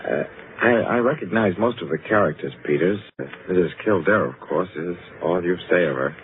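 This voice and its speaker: An actor playing a thoughtful, formal club gentleman in an old radio drama, speaking in a measured, slightly hesitant way. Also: low-pitched, expressive. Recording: tinny, hissy old radio recording.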